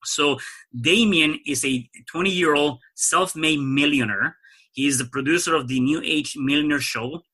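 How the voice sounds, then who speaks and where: A man's voice: medium pitch, sharp tone, husky. A man hosting a podcast interview, upbeat, often repeating words as he starts a thought. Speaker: podcast host.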